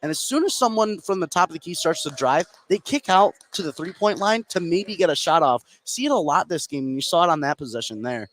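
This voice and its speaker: A man's voice: fairly high pitch, expressive, fairly quick, few pauses, fairly bright tone. A man giving conversational color commentary on a basketball game, explaining plays in loose phrasing. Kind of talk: conversational sports analysis. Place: indoor basketball gym.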